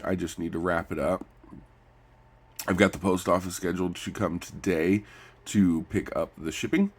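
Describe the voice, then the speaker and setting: A man with a stuffy nose chats casually in a personal vlog, rambling and halting, often repeating his phrases. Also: low voice. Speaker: vlogger. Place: recorded lying down.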